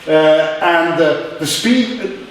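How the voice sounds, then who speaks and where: A man lecturing in halting English with a Hungarian accent, with many fillers and restarts. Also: a little high, a little hiss or hum. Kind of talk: university lecture. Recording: very echoey hall, mic far off.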